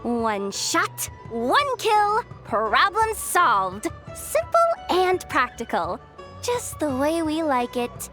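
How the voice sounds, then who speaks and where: An excitable young working girl for a video game. She has a very high, swooping voice and gushes breezily with small stammers, though her words come out unhurried. Music is clearly audible behind her. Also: full character voice. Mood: eager. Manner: gushing, breezy, slightly stammering.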